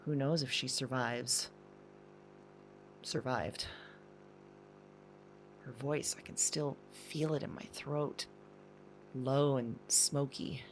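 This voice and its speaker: A woman's voice: extremely low pitch, creaky, a little hiss or hum, full character voice, poor audio. A woman playing a shaken, thoughtful diarist in an intimate audio drama monologue, speaking hesitantly in broken, reflective phrases.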